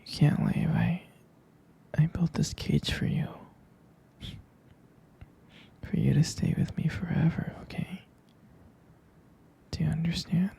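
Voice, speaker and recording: A man playing an obsessive, clingy captor lover in a whispered ASMR roleplay, cooing and coaxing with slow, breathy repetition. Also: a little high, very animated, warm tone, exaggerated voice. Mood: possessive, coaxing.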